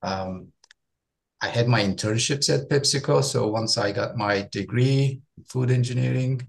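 A man in his forties from Turkey, a guest chatting in a relaxed interview, often repeating words as he goes. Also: medium pitch, gravelly. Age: 40s. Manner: friendly, with frequent repeated words and quick laughs. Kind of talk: casual interview chat.

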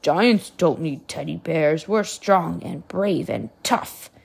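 A woman voicing a huge grumpy male giant in a read-aloud storybook, growling and booming. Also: expressive, takes it slowly, gravelly, breathy edge, heavily acted. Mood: gruff.

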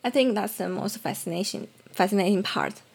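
A woman's voice: medium pitch, brisk, creaky, a little mellow, slightly rough. A woman from southern China with a Chinese accent, talking conversationally as an illustrator in an interview.

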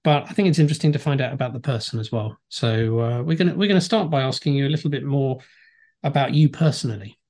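A man hosts a podcast in a mid-pitched voice. He sounds upbeat and friendly, and leans hard on key words as he asks his questions. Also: fast, darkish tone. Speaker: podcast host, interviewer. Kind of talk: casual podcast chat.

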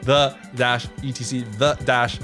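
A man co-hosting a podcast, chatting casually in an emphatic, animated way. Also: mid-range voice, measured pace, faint music behind. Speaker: podcast co-host.

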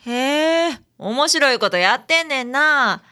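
ラジオドラマで、女性が関西弁の親切で気さくな女性探偵助手を、優しく話しかける調子で演じている。. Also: female voice, high voice, lively intonation, slow pace, full character voice. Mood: welcoming. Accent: Kansai. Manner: gentle, chatty explaining. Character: friendly, kindly young female detective's assistant. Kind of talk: community radio drama acting.

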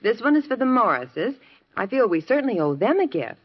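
A woman's voice: fairly high pitch, big pitch swings, a little fast, slightly rough. An American actress playing a patient, practical middle-aged housewife and mother in a 1950s radio sitcom. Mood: defensive, patient. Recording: muffled lo-fi 1950s radio recording.

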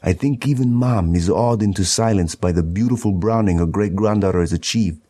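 Deep, mellow male poetry reader. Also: a little fast, breathy edge. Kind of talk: literary narrative poem reading.

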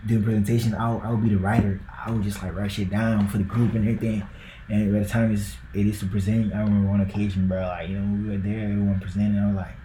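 Young ghanaian guy rambling on a podcast, low flat mellow voice, echoey room. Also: quick pace, a little hiss or hum. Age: young adult. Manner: loose and rambling, with many likes and bros. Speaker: podcast co-host. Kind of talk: casual podcast chat.

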